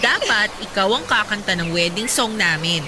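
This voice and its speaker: A woman acting an affectionate, teasing, excitable young bride-to-be in a radio drama. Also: medium pitch, normal speed, very noisy, lightly acted. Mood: affectionate, happy. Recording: loud music over the voice. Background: loud music.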